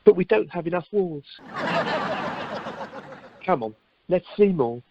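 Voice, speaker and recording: A woman's voice: fairly low pitch, animated, a little slow, noisy background. A woman playing a sensible, exasperated young husband in an amateur radio play over the telephone. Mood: polite, hurried. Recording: muffled telephone conference line.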